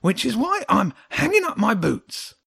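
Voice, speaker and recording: A man voicing a grumpy, defensive little old hedgehog guard in a children's audiobook, indignant and mocking in a high, creaky voice. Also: wildly swooping pitch, measured pace, fairly bright tone, no echo, studio quality, full character voice. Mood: huffy, mocking. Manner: indignant, spitting, mocking, exclamatory. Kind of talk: children's audiobook character acting.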